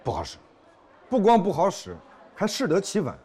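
An actor playing a harried court officer in a screen drama, placating and evasive, with dry exasperation and repeated words. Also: male voice, high-pitched, wildly swooping pitch, moderate pace, a little mellow, slightly rough, heavily acted. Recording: noticeable background noise and ambience.

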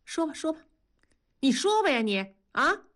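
A woman's voice: mid-range voice, sing-song, normal speed, fairly bright tone. A woman voicing a defensive, exasperated husband and father who reasons testily in an audio drama. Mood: testy, exasperated. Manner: reasoning, then testy.

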